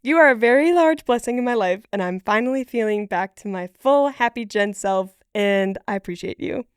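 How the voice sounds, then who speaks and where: A woman co-hosting a podcast, chatting casually in an informal, expressive way. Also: fairly high pitch, normal speed, clear, resonant tone, dry recording, studio quality. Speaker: podcast co-host.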